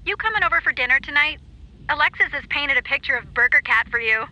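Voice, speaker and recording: A piercingly bright, high female voice over a dull phone line. It is a playful, breezy sister in an audio drama, always teasing. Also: animated, fast talker, exaggerated voice. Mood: playful, fond. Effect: telephone filter. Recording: dull, band-limited phone call.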